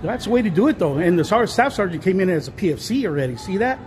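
A man's voice: sits high, very animated, fast talker, a little mellow, a little hiss or hum. A man narrating a casual walking tour of an indoor shopping mall, rambling with many fillers and restarts, recorded on a handheld phone. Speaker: vlogger touring a mall. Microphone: handheld phone camera, speaker off screen.

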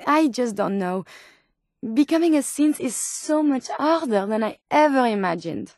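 A woman's voice: a little high, expressive, measured pace, darkish tone, dry recording, studio quality. An actress voicing a polite, curious female robot scientist from a video game, with a French accent and formal, precise, earnest phrasing. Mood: flustered, emotional. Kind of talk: video game companion dialogue.

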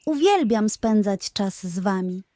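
A woman voicing a cheerful, energetic young female monkey in a children's story, exclaiming with enthusiasm. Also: mid-range voice, unhurried, close to an everyday voice. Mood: happy.

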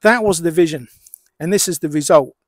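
A middle-aged man explaining and demonstrating as a hobbyist presenter, in measured short phrases with pauses. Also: on the high side, dry recording, studio quality. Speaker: amateur radio hobbyist presenter.

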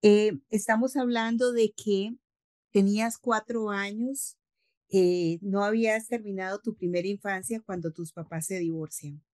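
A woman's voice: medium pitch, fairly even intonation, unhurried, darkish tone, dry recording. A middle-aged woman with a Costa Rican accent hosting an interview over a video call, effusive and formal. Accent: Costa Rican Spanish. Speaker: host.

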